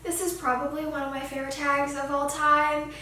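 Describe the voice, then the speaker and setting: A woman's voice: high-pitched, little pitch movement, a little fast, bright, forceful tone. A woman chatting casually and emphatically to her viewers as a gushing book vlogger. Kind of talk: casual chatty vlog to camera. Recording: very echoey room, distant mic, faint noise.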